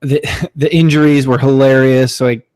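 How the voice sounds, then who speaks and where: A man's voice: medium pitch, flat delivery, moderate pace, a little mellow, smooth. A man in his thirties from Florida, co-hosting a podcast and reminiscing in a chatty, casual way. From Florida, USA. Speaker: podcast co-host. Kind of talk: casual chat.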